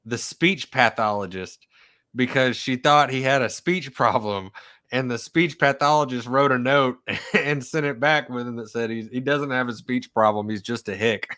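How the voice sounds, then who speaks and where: Man, slight southern accent, bright voice, chatty storyteller. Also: medium pitch, moderate pace. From Oklahoma. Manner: storytelling, animated in quoted lines. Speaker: historian researcher. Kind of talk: casual conversation.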